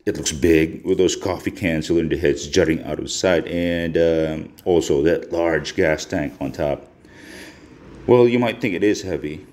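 A man's voice: low voice, darkish tone. A man from the Philippines giving a casual, conversational voice-over review as a motorcycle vlogger. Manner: conversational, informal.

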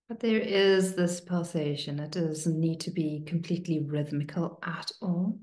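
Slow, hushed woman guiding meditation, roomy sound. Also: lowish voice. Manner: hushed, soothing, with long pauses between short phrases. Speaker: meditation teacher. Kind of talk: guided meditation, slow and spoken to listeners.

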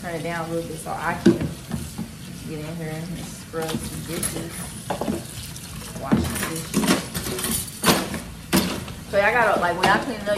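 A young woman chatting to viewers as she washes dishes and tidies her apartment kitchen. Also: fairly low pitch. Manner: chatty, talks to viewers while washing dishes. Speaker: cleaning vlogger. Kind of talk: casual clean-with-me narration. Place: small apartment kitchen, indoors. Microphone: handheld phone camera, recorded from a distance. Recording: echoey kitchen, distant phone mic, loud background noise.

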